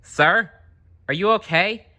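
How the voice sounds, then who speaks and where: A man's voice: sits high, slow pace, sharp tone, voice pushed up, full character voice. A man voicing a friendly, scatterbrained female blue fish in a storybook, upbeat in manner.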